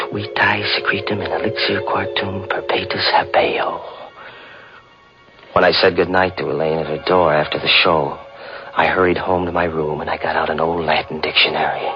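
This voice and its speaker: A man's voice: low-pitched, fairly even intonation, normal speed. An actor playing a young male chemistry teacher who narrates his own story in a 1940s radio drama, earnest and suspenseful. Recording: muffled old radio recording with music.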